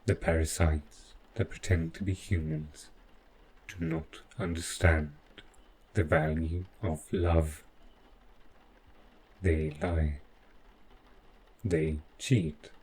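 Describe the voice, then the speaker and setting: A man playing a devoted, possessive robot butler in an audio roleplay, speaking in a formal, measured, precise way with halting phrases. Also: extremely low pitch, steady tone, darkish tone, breathy edge, exaggerated voice, poor audio. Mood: cold contempt. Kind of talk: scripted roleplay audio drama monologue.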